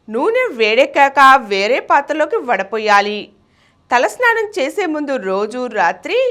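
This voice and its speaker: A woman's voice: on the high side, a bit bright. A woman news reader delivering a scripted bulletin in a formal, emphatic manner.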